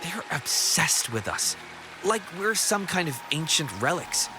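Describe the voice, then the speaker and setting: A man's voice: a little high, very animated, measured pace. A man playing a chatty, wry townsman in a video game, telling stories conversationally over music. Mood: amused. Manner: conversational, bemused, storytelling. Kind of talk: video game character dialogue.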